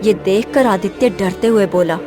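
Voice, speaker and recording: A woman's voice: mid-range voice, moderate pace. A woman storyteller giving scripted, dramatic narration of a fairy tale. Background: background music.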